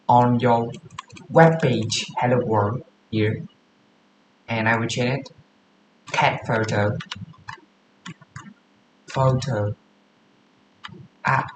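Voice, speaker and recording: A man from Vietnam with a Vietnamese accent, live streaming as a coding beginner and reading course text aloud in halting English. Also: mid-range voice, roomy sound, slight background noise, rough recording. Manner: halting, often stops to read and correct himself.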